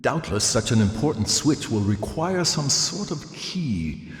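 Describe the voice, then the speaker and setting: A man's voice: mid-range voice, sing-song, unhurried. An actor playing a pragmatic, unsentimental male adventurer in a video game, speaking in a matter-of-fact, insistent way. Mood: brisk, practical. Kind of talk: video game cutscene acting. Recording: echoey hall, faint ambient noise.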